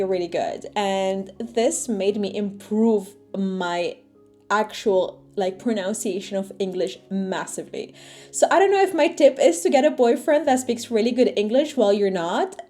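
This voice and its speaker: A woman's voice: mid-range voice, normal speed. A young woman whose first language is Arabic, chatting casually to camera as a vlogger, full of fillers and asides. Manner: chatty, animated, lots of fillers. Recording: faint music underneath.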